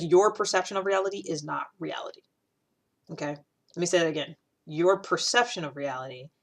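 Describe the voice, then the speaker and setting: A woman talking casually to a camera, animated and chatty, with many fillers and restarts. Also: deep voice. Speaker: video host. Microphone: camera, solo recording.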